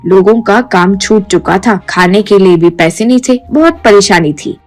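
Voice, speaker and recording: A woman's voice: medium pitch, normal speed, soft background music. A woman reading scripted narration for a simple moral tale, as a storyteller.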